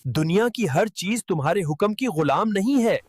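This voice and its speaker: An actor voicing an enchanted frog prince, an earnest and insistent suitor in an animated fairy tale. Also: mid-range voice, moderate pace, background noise, natural voice. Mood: defiant. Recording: music behind the voice.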